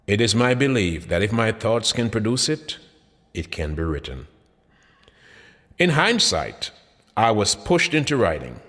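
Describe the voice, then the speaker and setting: A man reading his own book aloud in a sermon-like cadence, phrases rising then dropping low, with occasional "uh" fillers. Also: sing-song, unhurried, pauses often, fairly bright tone, big-room sound. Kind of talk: audiobook narration.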